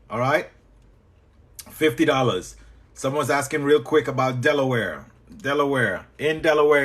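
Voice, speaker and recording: A man with a Trinidadian accent, a business coach teaching on a live stream and chatting back to viewers with quick repeated acknowledgements. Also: medium pitch, fairly bright tone. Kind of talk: live-stream teaching while answering chat.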